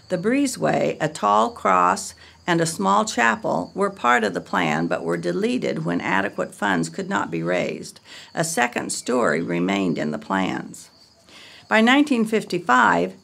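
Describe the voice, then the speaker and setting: A woman reading a scripted history narration in a measured, read-aloud way. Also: on the low side, creaky, fairly bright tone.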